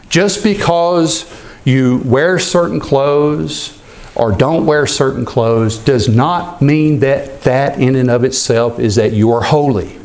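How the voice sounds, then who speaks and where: A man's voice: medium pitch, darkish tone. A man pastor preaching an earnest expository sermon, sometimes repeating a word as he goes.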